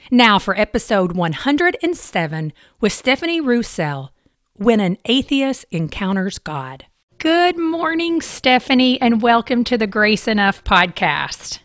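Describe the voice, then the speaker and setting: A woman hosts a podcast, reading her appeal from a script and then chatting warmly with her guest. Her pitch moves up and down a great deal as she talks. The recording is dry and close-miked. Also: dry recording. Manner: friendly, encouraging. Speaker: podcast host. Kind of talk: scripted intro and interview chat.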